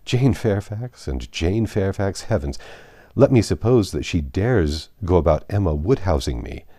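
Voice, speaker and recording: Man reading as a composed, wry gentlewoman, low-pitched. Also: lively intonation, a little slow, no room sound, studio quality. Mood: indignant. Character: composed, wry, privately indignant young gentlewoman. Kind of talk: audiobook character reading.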